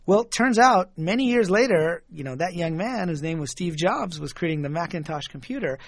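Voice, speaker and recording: A middle-aged man from Michigan, a guest telling reflective stories in a relaxed way, chuckling now and then and repeating words as he starts a thought. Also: a little high, brisk, dry recording, clean studio sound. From Michigan, USA. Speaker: guest, author and engineer. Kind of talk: reflective conversational storytelling.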